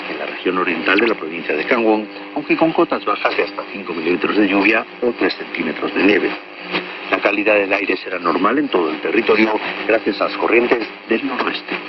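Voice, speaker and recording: Low-voiced male newsreader on noisy shortwave radio. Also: recorded from a distance, dull audio. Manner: formal, measured. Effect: shortwave radio broadcast. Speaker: radio news announcer. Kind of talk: scripted news reading.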